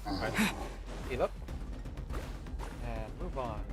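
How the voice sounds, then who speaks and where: A man's voice: medium pitch, animated, slow pace. A man giving casual gameplay commentary as a streamer, muttering to himself while he plays. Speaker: game streamer. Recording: very loud game music and effects behind.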